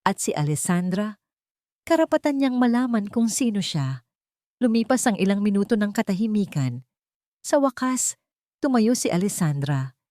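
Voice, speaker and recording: A woman storyteller reading a scripted romance drama in a measured, dramatic way with deliberate pauses. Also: mid-range voice, dark tone, no echo, clean studio sound. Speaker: storyteller narrator.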